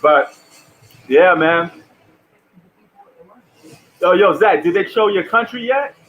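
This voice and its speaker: Chill new dude streaming from home, slangy, kinda high voice. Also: male voice, lively intonation, takes it slowly, a bit bright, muffled recording. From New York. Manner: laid-back, slangy, chatty. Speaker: live stream host. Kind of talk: live stream reaction commentary.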